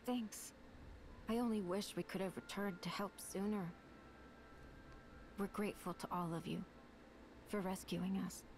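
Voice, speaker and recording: A woman's voice: mid-range voice, fairly even intonation, a little slow, long pauses, darkish tone, lightly acted. Woman playing a composed young warrior, faint noise. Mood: gentle, earnest. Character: gentle, composed young female warrior master. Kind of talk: dramatic game cutscene acting.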